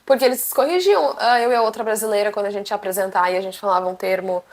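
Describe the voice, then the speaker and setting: A young woman with a Brazilian Portuguese accent, chatting to camera as a vlogger and telling stories in a lively, animated way. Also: mid-range voice, fast. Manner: animated, chatty, acts out quotes. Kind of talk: casual storytelling to camera.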